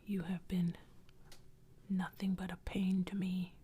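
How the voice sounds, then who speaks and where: A woman's voice: on the low side, little pitch movement, mellow, a little hiss or hum. A woman whispering as a vengeful warrior ghost. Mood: menacing whisper. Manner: whispered, slow and threatening. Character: vengeful dead warrior ghost, male, menacing.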